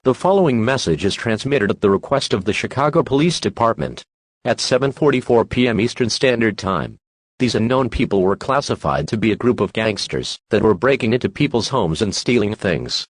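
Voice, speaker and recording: A man's voice: on the low side, lively intonation, a little fast, slightly rough, no room sound. A man's synthetic-sounding voice reading scripted emergency alert messages as a formal announcer.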